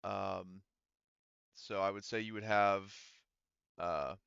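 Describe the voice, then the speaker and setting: Bright, clear-voiced man as game master. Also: deep voice, fairly even intonation, no room sound, highs cut off. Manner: halting, thinking aloud while explaining. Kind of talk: tabletop roleplaying game narration, casual.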